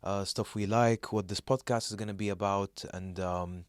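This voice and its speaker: A man's voice: lowish voice, fairly even intonation, normal speed, clean tone, no room sound. Young man chatting, dry close sound. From Saudi Arabia. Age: young adult. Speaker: podcast co-host. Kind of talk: casual chat, telling personal anecdotes.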